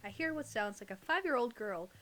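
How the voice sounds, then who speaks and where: A woman's voice: on the high side, expressive, a little fast, fairly bright tone. A woman co-hosting a casual reading of online stories, chatting and teasing in a playful way. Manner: playful, teasing banter with co-host. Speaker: host and reader of online horror stories.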